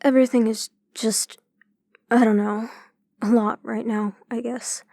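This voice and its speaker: An actress plays a gentle, troubled woman in an audio drama. Her voice is fairly high and mellow, recorded dry. She talks in a hesitant, confiding way. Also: level tone, measured pace, gravelly, no echo. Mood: troubled, reflective.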